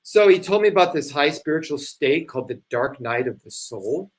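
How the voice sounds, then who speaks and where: A man playing a remorseful, rambling spiritual seeker in a live stage play reading, halting and conversational in delivery. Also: on the high side, expressive, close to an everyday voice. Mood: wistful, earnest.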